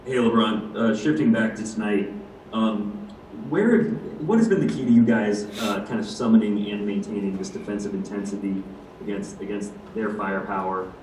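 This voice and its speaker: A man's voice: on the low side, normal speed, a little mellow, a little hiss or hum. A man working as a sports reporter, asking a question hesitantly and rephrasing as he goes. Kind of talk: press conference question. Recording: distant, echoey line.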